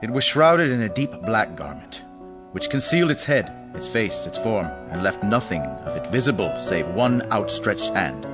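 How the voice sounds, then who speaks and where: A man's voice: on the low side, sing-song, moderate pace. A man narrating a classic tale in a dramatic, literary storytelling style. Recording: muffled lo-fi audio with music behind.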